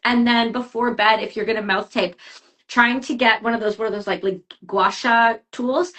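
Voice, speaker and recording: A woman working as a health coach, giving advice in a chatty podcast conversation with quick asides. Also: fairly high pitch, fairly bright tone, low-quality recording. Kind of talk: casual podcast chat.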